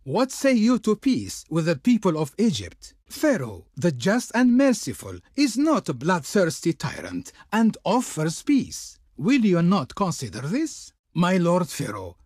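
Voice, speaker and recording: An actor playing a haughty, fawning envoy of an Egyptian Pharaoh in a video game, with a pompous, ceremonious, declamatory delivery. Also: male voice, high voice, wildly swooping pitch, measured pace, few pauses, a little mellow, husky, no echo, studio quality, full character voice. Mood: solemn, imperious. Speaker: diplomat character in strategy game. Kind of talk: theatrical game voice acting.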